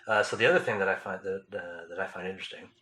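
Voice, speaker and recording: A man's voice: deep voice, racing, pushed and bright, a little hiss or hum. A man hosting a podcast interview, talking hesitantly with many fillers and small chuckles. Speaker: podcast host. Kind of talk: conversational interview.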